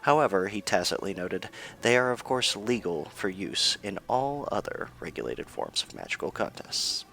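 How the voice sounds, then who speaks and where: A man's voice: lowish voice, big pitch swings, normal speed, gravelly, hardly any pauses. A man playing an earnest news anchor in a fantasy radio news parody, with a polished, presentational delivery. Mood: earnest newsreading.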